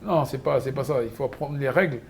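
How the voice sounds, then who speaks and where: A man hosts a conversational interview in a mid-pitched voice, chatty and animated as he tells anecdotes. Faint noise runs underneath. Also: fast. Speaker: host.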